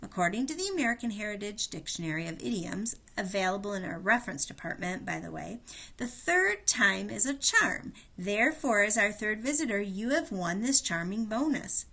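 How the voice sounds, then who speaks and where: Jolly booming announcer guy as a woman does him, showman style, bright tone. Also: very animated, normal speed, gravelly, heavily acted. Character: jolly booming male announcer from ceiling speakers.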